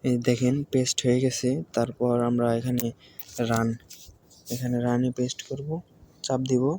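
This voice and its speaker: Flat-voiced Bangladeshi man explaining step by step. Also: mid-range voice, normal speed. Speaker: tutorial presenter. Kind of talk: informal screen-recording tutorial narration. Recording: home recording with faint background noise.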